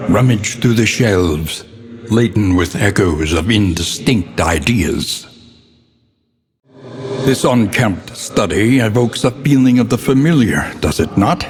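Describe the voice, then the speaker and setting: A man's voice: lowish voice, darkish tone, a little hiss or hum. An actor playing a grave, weary, learned older man who narrates a gothic horror game with solemn, ominous, theatrical diction. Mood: brooding, wistful. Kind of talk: dramatic scripted gothic narration. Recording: long reverberant hall echo.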